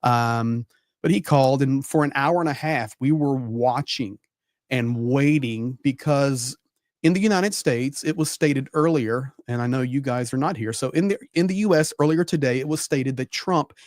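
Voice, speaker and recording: Male panel commentator, mid-pitched, conversational and emphatic, recorded dry. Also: normal speed, a little mellow, no echo. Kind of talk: live political commentary.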